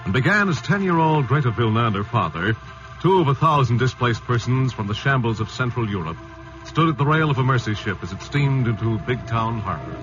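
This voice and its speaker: A man's voice: medium pitch, expressive, brisk. A man narrating a 1940s radio drama as announcer, dramatic and declamatory. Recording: muffled old radio recording with music.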